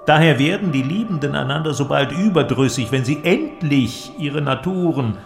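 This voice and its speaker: An actor playing an eloquent, cynical inventor who argues in a declamatory, creaky voice in a literary audio drama. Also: male voice, on the high side, lively intonation, normal speed, faint music behind. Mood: assertive. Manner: declamatory, argumentative, rhetorical. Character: male inventor, cynical, eloquent philosophical persuader.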